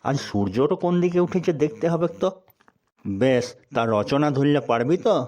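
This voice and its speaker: A man's voice: medium pitch, animated, moderate pace, a little mellow, close to an everyday voice. A man voicing a sarcastic, scolding schoolteacher in a cartoon. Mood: exasperated. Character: exasperated middle-aged male village schoolteacher. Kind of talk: cartoon voice acting.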